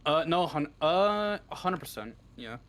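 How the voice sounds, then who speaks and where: A man's voice: on the high side, animated, a little slow, fairly bright tone. A man giving casual, unscripted answers as an interview guest, talking freely and swearing often. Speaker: interview guest, audio roleplay script writer.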